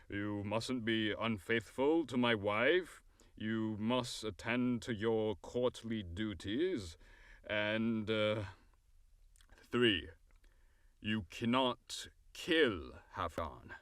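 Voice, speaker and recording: A man playing a weary, cunning fairy king in an audio drama, speaking formally and deliberately. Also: lowish voice, no room sound, studio quality.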